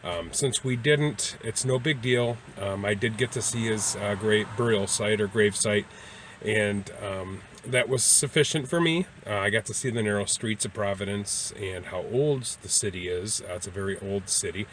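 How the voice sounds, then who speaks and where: Man, lowish voice, casual vlog host, rambling, hesitant, slight ambient noise. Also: moderate pace, a bit bright. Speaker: travel vlogger and host.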